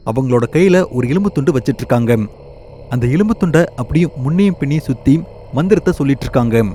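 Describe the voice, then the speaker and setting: Man narrator, mellow mid voice, steady storytelling, cartoon horror tale. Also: medium pitch, a little hiss or hum.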